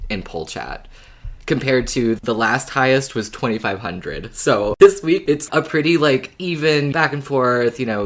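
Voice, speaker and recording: A woman co-hosting a podcast in casual, informal conversation. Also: extremely low pitch. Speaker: podcast co-host.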